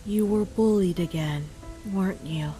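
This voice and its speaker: Woman playing a slow-talking, tender mother deer. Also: medium pitch, faint music behind, close to an everyday voice. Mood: knowing, concerned. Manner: gentle, unhurried, nurturing. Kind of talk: animated comic dub acting.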